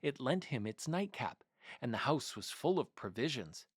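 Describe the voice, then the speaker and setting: A man narrating a children's story in an expressive, deliberate storybook delivery. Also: mid-range voice, breathy edge, no echo, studio quality. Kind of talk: scripted narration, children's read-aloud.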